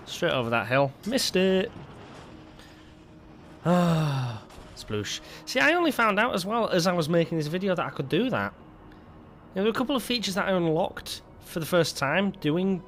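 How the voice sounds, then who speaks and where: A man's voice: a little high, fairly bright tone. A man hosting casual gameplay commentary, rambling with stumbling restarts and a lingering cough. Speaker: gaming video host.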